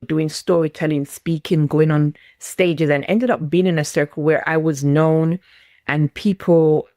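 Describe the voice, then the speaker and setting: Jamaican woman mentor, low voice, emphatic and repetitive, dry recording. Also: a little mellow, no echo. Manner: emphatic, repeats key phrases. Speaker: guest, business mentor and speaker. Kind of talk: conversational interview, motivational.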